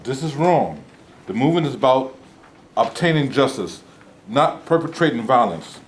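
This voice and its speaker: A man reading the part of a reverend, measured and earnest. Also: mid-range voice, big pitch swings, close to an everyday voice. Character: principled cautious male reverend leader. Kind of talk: staged reading. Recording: echoey room, noisy.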